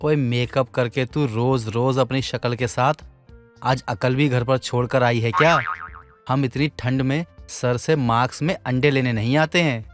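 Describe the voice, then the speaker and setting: A man's voice: mid-range voice, fairly even intonation, a little slow, soft background music, natural voice. A man playing a cheeky young college student in a cartoon story, mocking and blustering in street slang. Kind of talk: Hindi animated moral story dialogue.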